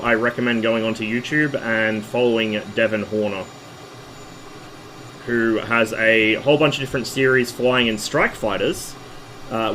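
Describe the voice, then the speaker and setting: A hoarse man, losing his voice, casually talking through a flight-sim stream in a relaxed, rambling way. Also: mid-range voice, slight background noise, poor audio. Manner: relaxed, rambling, with fillers and asides.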